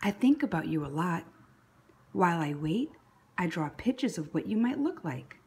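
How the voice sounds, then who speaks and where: A woman reading a children's story aloud expressively, with a breathy, husky voice. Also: on the low side, big pitch swings, moderate pace, a little mellow. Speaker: picture book read-aloud narrator.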